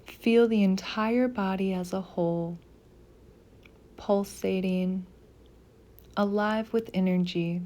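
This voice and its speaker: A woman guides a meditation in a soft, mellow voice. Her delivery is very slow and deliberate, leaving pauses between short instructions. The pitch sits in a middle range for a woman, and the tone stays gentle. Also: steady tone.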